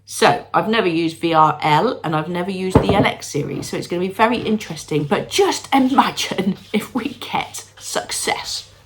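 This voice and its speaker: Stuffed-up lady talking at home, bit lower voice, bubbly and jokey. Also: expressive, normal speed, background noise. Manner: bubbly, self-deprecating, talks to viewers. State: congested with a cold, sniffly. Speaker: candle-making vlogger. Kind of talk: chatty home vlog. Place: at home, moving between rooms.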